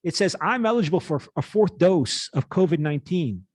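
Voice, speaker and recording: A man in his late fifties lecturing as a university teacher, reading aloud and explaining in a halting way with rephrasings. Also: fairly high pitch, animated, a little mellow, dry recording, studio quality.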